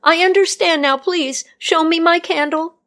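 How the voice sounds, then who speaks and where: A woman voicing a young female healer who pleads in a high, desperate voice. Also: unhurried, a bit bright, exaggerated voice. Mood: pleading.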